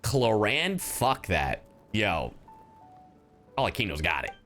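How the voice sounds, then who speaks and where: A man livestreaming a game, reacting casually to his chat in an excitable, slangy, foul-mouthed way. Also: fairly low pitch, takes it slowly, soft background music.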